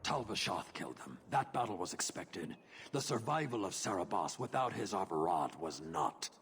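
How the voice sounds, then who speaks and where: Stern warrior-enforcer, fantasy game, animated pitch, commanding, formal, faint ambient noise. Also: male voice, normal speed. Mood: cold, commanding. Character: stern, zealous adult male warrior-enforcer of a rigid creed. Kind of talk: dramatic fantasy video game acting.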